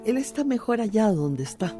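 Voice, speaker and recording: A woman's voice: mid-range voice, big pitch swings, moderate pace, music in the background, lightly acted. An actress playing a wise, cautious older mother of a grown son in a radio drama. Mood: worried.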